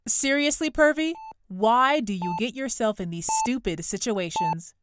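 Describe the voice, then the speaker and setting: A woman's voice: a little high, lively intonation, a little slow, very noisy. A woman voicing an exasperated young woman who scolds and frets over a reckless friend. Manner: scolding, emotional.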